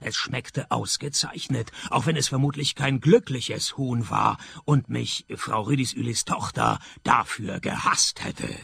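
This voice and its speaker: Male private detective, sardonic, gravelly fry, animated melody, comic radio noir. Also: mid-range voice, full character voice. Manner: dry, deadpan, sarcastic. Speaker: narrator and lead actor of a detective radio play. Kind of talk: comic noir radio play narration and dialogue.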